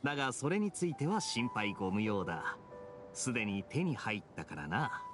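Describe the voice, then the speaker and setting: Suave, animated, teasing swordsman. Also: fairly low pitch, normal speed, over music. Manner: smooth, nonchalant. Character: suave, teasing young swordsman, self-assured. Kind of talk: Japanese anime game voice acting.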